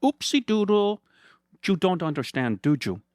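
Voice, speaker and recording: A man puts on a vain, scheming villain who purrs every line with theatrical condescension. His voice sits higher than a plain speaking voice and swoops around with a lot of lilt. The sound is close and dry. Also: very animated, measured pace, darkish tone, no echo. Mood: smug. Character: smug villainous male hand model. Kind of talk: comedic storytelling voice.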